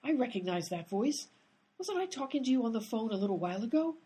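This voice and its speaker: A woman's voice: fairly high pitch, lively intonation, quick pace, dark tone, slightly rough. A woman playing an exasperated, blunt, tough-talking detective in a comedic radio play. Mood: tough, demanding.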